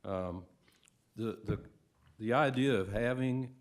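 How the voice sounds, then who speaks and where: Hoarse man giving public comment. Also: mid-range voice. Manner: measured, halting, many fillers. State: hoarse from a cold. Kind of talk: public comment at a county meeting.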